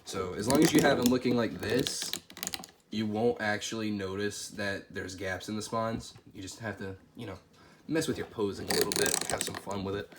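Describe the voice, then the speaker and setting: A stuffy-nosed man with a lowish voice talks through a toy figure review, relaxed and chatty, thinking aloud as he handles it. His voice cracks a little from a cold. A clear, steady background noise runs under him. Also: moderate pace. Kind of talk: casual product review.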